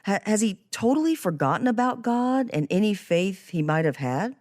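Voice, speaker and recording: A woman's voice: mid-range voice, sing-song, moderate pace, a little mellow, no room sound, clean studio sound. A woman hosting a podcast interview, talking conversationally about a book and faith. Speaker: podcast host.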